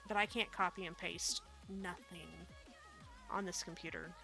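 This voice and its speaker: A woman's voice: on the low side, steady tone, measured pace, pushed and bright, soft background music. A woman with a Midwestern accent, chatting casually and rambling with many fillers while streaming a game. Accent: Midwestern American. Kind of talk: casual live-stream commentary.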